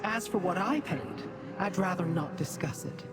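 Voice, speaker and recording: A woman's voice: lowish voice, normal speed, natural voice. An actress playing a proud, cold female demon in a video game, speaking in a haughty, condescending, formal way. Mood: aloof. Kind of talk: video game character dialogue. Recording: music behind the voice.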